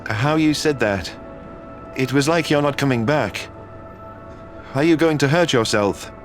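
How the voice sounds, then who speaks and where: A man's voice: medium pitch, a little slow, faint music behind, natural voice. An actor playing a concerned, loyal human man in earnest, emphatic dialogue from a sci-fi audio drama. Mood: soft, worried.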